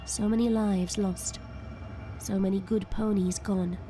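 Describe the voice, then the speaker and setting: A woman's voice: mid-range voice, level tone, a little slow, mellow, a little hiss or hum. A woman reading scripted third-person story narration as the narrator of an audio drama.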